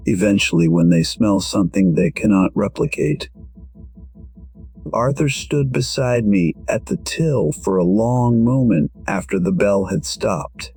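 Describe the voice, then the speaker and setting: A man with a husky voice narrating a scripted first-person story in a measured, reflective manner. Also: on the low side, a little mellow.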